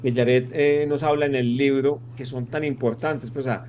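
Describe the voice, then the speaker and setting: A Colombian podcast host putting a long question to his guest, stumbling and restarting as he searches for the words. His voice is at a middle pitch, with a faint hum in the background. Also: fairly even intonation, moderate pace, darkish tone. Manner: hesitant, many fillers and restarts. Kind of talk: interview question.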